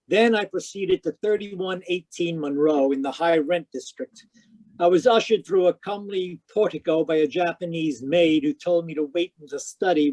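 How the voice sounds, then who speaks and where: An actor playing a hard-boiled private detective in old-time radio style, wry, clipped and matter-of-fact. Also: male voice, a little high, darkish tone, lightly acted, low-quality recording. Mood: wry narration. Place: home, live video call performance.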